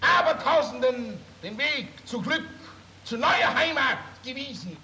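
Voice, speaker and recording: An actor playing a solemn town orator giving a rousing, projected ceremonial speech in a drama. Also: male voice, very high voice, expressive, measured pace, full character voice. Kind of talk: period film speech within radio drama. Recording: noisy ambient scene.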